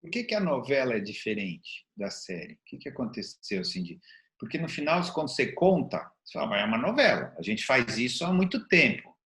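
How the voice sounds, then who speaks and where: Entrevistador brasileiro mais velho, conversa informal. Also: male voice, mid-range voice, very animated, brisk, fairly bright tone. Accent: Brazilian. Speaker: interviewer, host. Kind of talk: casual conversational interview.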